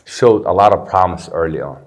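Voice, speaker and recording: Deep-voiced man telling his story. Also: moderate pace. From Somalia. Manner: earnest, candid, sprinkles Arabic phrases. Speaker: guest, recovery center founder. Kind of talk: personal storytelling interview. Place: studio.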